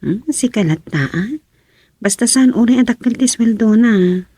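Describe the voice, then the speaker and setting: A woman's voice: fairly high pitch, expressive, moderate pace, warm tone, slightly rough, no room sound. A woman with an Ilocano accent playing a village household woman in a radio comedy drama. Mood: discussing.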